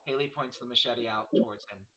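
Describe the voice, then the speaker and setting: A man narrates a screenplay, reading out action and scene headings. His voice is mid-pitched, bright and forceful. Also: a little fast, lo-fi sound. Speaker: narrator reading stage directions. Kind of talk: scripted narration of horror screenplay.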